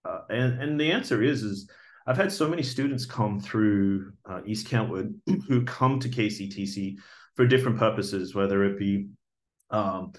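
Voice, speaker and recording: A man in his forties with an Australian accent, earnestly introducing himself for a teaching job, with many fillers and restarts, recorded on a webcam indoors. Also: fairly low pitch. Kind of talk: video job application talk. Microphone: webcam video recording.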